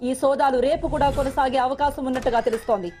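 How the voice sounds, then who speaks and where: A woman's voice: high-pitched, steady tone, fast talker, very noisy, close to an everyday voice. An actress playing a formal female news reader delivering a scripted bulletin in a film. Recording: loud film music under bulletin.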